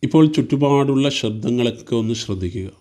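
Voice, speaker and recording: A man with a Malayali accent leading a guided meditation, giving slow, soothing instructions in short phrases with pauses. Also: medium pitch, darkish tone.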